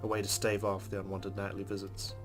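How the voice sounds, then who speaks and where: Male let's player, lowish voice, measured and subdued reading of game narration, music bed underneath. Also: monotone. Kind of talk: reading visual novel narration aloud.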